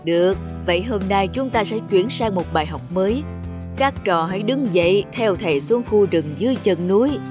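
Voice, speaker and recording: Patient old cartoon schoolmaster, female performer, mid pitch for a woman, measured teaching tone, music bed. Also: animated, natural voice. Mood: instructive. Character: patient, wise old village schoolmaster, a man. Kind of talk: scripted cartoon dubbing. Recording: music under the voice.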